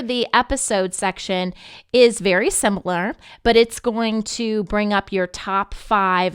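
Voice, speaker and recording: A woman giving a conversational, unscripted software walkthrough, explaining things directly to the listener and checking in as she goes. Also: medium pitch, clear, resonant tone, no room sound, clean studio sound. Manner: explanatory, addresses listeners directly with check-in tags like "okay" and "right". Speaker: podcast network manager presenting a tutorial.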